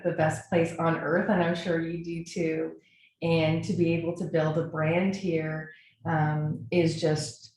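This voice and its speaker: Canadian woman, webinar presenter, slightly low voice, earnest and enthusiastic, recorded in a very reverberant room. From Nova Scotia, Canada. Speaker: webinar presenter, brand strategist. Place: indoor echoey room, online webinar. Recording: very echoey room, faint noise.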